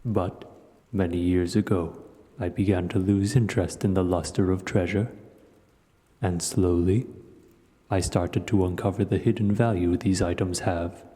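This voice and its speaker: A cavernous reverb surrounds an old, wise dragon, played by a man, with a very deep voice. He speaks in measured, gentle phrases with a mellow, dark tone, like a thoughtful storyteller in an audio roleplay. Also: fairly even intonation. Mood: wistful, reflective. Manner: slow, measured, reflective storytelling. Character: ancient, wise, gentle male dragon hoarder. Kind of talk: audio roleplay acting, addressing the listener.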